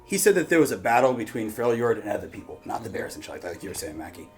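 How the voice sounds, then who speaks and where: A man's voice: lowish voice, sing-song, very fast, a little mellow, faint music behind. A man hosting a casual reaction discussion, thinking aloud in a rambling, informal, sweary way. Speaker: reaction show host.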